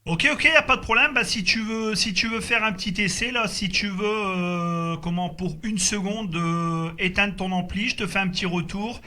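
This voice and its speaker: A man's voice: high-pitched, moderate pace, few pauses. A man chatting casually as a radio operator, repeating his phrases in a hesitant, agreeable way. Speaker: amateur CB radio operator recording the group contact. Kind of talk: casual radio contact chat. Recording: faint background noise.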